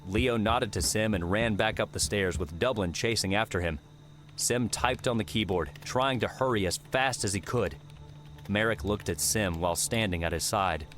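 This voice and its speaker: A man's voice: on the low side, moderate pace, a bit bright, faint music behind. A man reading scripted story narration for an audiobook.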